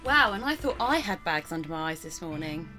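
An actress playing a frank, determined investigator's assistant in a naturalistic audio drama. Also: female voice, sits low, sing-song, brisk, bright, forceful tone, soft background music, heavily acted, poor audio. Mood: brisk, dry. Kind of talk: naturalistic radio drama dialogue.

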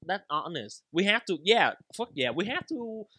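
A young man, a comedian and podcast guest, talking fast and loose with stammered restarts, swearing and lots of "bro". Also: high voice, big pitch swings, pushed and bright, dry recording, clean studio sound. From Vietnam. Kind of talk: casual podcast chat.

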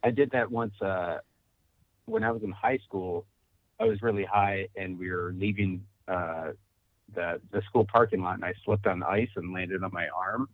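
A man with a lowish voice chats in a relaxed, storytelling way, often quoting what he was thinking. He comes through a thin, phone-like line, and the sound is dry. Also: moderate pace, no room sound. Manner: laid-back storytelling, quotes his own thoughts. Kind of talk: casual chat.

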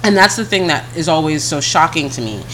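A woman co-hosting a casual podcast chat, talking bluntly and frankly in a deep, heavy voice she keeps a little higher than her natural one. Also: animated, fairly quick, fairly bright tone, a little hiss or hum, poor audio. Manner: blunt, frank, swears, laughs often. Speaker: podcast co-host.

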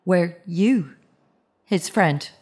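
A woman's voice: fairly low pitch, very slow, darkish tone, husky, full character voice. An actress playing a grieving middle-aged mother, searching and earnest, questioning insistently in a naturalistic audio drama. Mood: probing. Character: grieving, searching mother of a dead teenage son.

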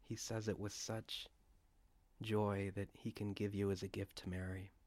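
Male prayer guide, low-pitched and level, reverent and measured, recorded dry for a guided meditation. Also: level tone, a little mellow, no room sound.

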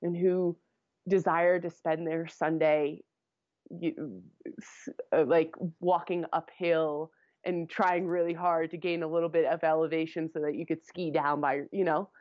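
Woman interview guest, lower voice, thinks out loud with restarts, dull lo-fi remote audio. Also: flat delivery, unhurried, dry recording. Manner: thinks aloud, restarts and repeats words. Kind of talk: casual conversation.

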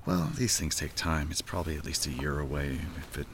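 Sheepish middle-aged guy, bass-deep and stammering, satirical radio play, some noise behind. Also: very animated, fairly quick, creaky, exaggerated voice. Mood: flustered, evasive. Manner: hesitant, stammering, low-key. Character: evasive, sheepish middle-aged actor hiding things from his lover. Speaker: actor in an audio drama. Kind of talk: naturalistic satirical audio drama acting.

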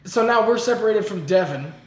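A man's voice: sits high, animated, fairly quick. A young man co-hosting a podcast, telling stories in a rambling, jokey, profane way in casual chat. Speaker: podcast co-host. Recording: echoey room, dull lo-fi sound.

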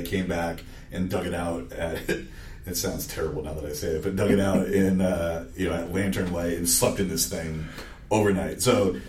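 Low-voiced man, distant and echoey. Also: a little hiss or hum. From Maine. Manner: relaxed, joking, trails off and restarts. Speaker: podcast guest. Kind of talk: casual interview chat. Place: large conference room with windows.

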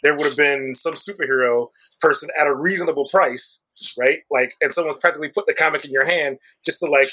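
Husky middle-aged man, chatty. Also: fairly high pitch, quick pace, bright, forceful tone, poor audio. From Chicago, USA. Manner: chatty, explanatory, peppers speech with "like" and "right?". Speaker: comic shop owner, guest. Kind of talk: conversational podcast interview.

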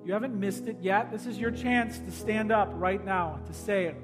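Pastor guy with a high voice doing an altar call, pleading, gets loud then goes real quiet. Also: steady tone, moderate pace, music in the background. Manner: urgent, pleading, swells loud then drops to a hush. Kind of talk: evangelistic altar call sermon.

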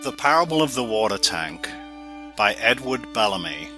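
A man's voice: lowish voice, very animated, normal speed, music in the background. A man reading a parable aloud in the style of an audiobook. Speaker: reader.

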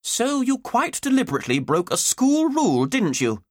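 Man playing a severe, sarcastic woman schoolteacher, pitched up. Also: huge pitch swings, no room sound, studio quality, exaggerated voice. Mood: stern, angry. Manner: acid sarcasm, severe, deliberate emphatic delivery. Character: furious, sarcastic woman schoolteacher, a fearsome disciplinarian.

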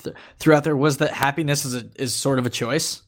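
A man's voice: medium pitch, steady tone, fairly quick. A man co-hosting a podcast, talking in a casual, conversational way about a cartoon. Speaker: podcast co-host.

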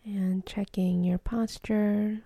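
A woman leading a guided meditation in a soft, breathy, half-whispered voice, calm and gentle, with long pauses between phrases. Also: mid-range voice, dry recording.

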